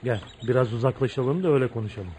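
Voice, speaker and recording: A man's voice: mid-range voice, very fast, warm tone, a little hiss or hum, close to an everyday voice. An actor playing a brooding, jealous man of volatile temper in a dramatic, intense radio theatre style. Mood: bitter, pleading. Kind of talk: Turkish radio theatre acting.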